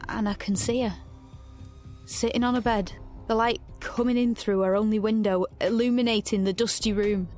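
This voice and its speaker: A woman's voice: medium pitch, steady tone, moderate pace, a little mellow, faint music behind, close to an everyday voice. An actress playing a determined fugitive, narrating her ordeal as an intense inner monologue in an audio drama. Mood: awed, determined.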